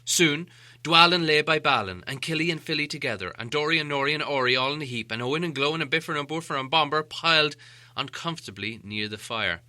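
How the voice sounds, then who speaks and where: A man reading a storybook aloud as an animated audiobook narrator. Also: on the high side, quick pace.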